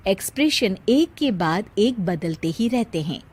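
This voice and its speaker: A woman's voice: medium pitch, lively intonation, a little slow, husky. A woman reading scripted narration for a cartoon moral story as a storyteller.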